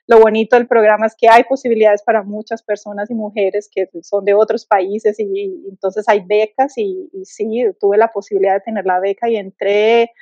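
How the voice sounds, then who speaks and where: A woman's voice: medium pitch, little pitch movement, moderate pace, hardly any pauses, dark tone, dry recording, lo-fi sound. A middle-aged woman from Colombia with a Colombian accent, telling her story as a podcast guest, thoughtful with many restarts and fillers.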